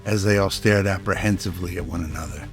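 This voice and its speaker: A man's voice: deep voice, fast talker, faint music behind. A man delivering dramatic scripted narration as the storyteller of an audio drama.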